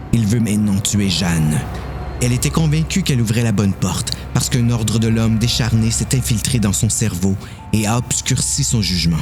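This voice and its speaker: A man narrates a suspense story from a script. His delivery is dramatic, over soft background music. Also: fairly low pitch.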